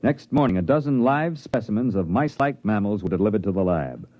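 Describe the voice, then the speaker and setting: A man's voice: lowish voice, animated, a little fast, dull audio. A man playing a scientist dictating a terse, formal log in a 1950s radio drama, on an old recording. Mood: matter-of-fact. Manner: clipped, formal, report-like.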